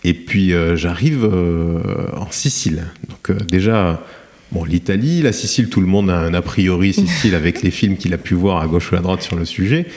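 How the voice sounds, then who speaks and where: Middle-aged man, deep and warm, halting and reflective interview guest. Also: lively intonation. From France. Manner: hesitant, many fillers and restarts. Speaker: guest, cement plant director. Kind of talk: podcast interview, reflective storytelling.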